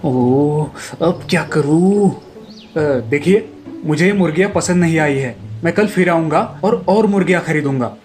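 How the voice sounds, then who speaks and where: A man's voice: a little high, measured pace, darkish tone, close to an everyday voice. A man voicing a frantic, bumbling male thief in a comic children's cartoon story, exclaiming in a flustered way. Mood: flustered, evasive. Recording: music behind the voice.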